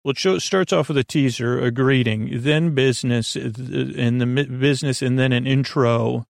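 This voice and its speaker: A man with a creaky voice hosting a bedtime podcast in a meandering, halting monologue that keeps interrupting and repeating itself. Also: mid-range voice, steady tone, dry recording, clean studio sound. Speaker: podcast host.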